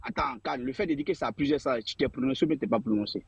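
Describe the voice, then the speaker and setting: A man's voice: medium pitch, very fast, gravelly, husky, no echo, muffled recording. A man in a live debate, insistently pressing another speaker with repeated questions. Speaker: debate participant.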